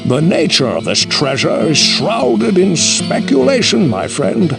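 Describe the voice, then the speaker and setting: A man's voice: on the high side, huge pitch swings, measured pace, creaky, over music, exaggerated voice, poor audio. A man playing a learned, mysterious lore-keeper in a fantasy video game, speaking formally and theatrically. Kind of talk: video game character acting.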